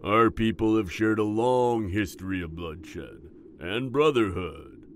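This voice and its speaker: Man acting a deep, genial dwarf king. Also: slow, a little hiss or hum. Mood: grave, courteous. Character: jovial, stately dwarf king, battle-seasoned. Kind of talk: fantasy audio roleplay acting.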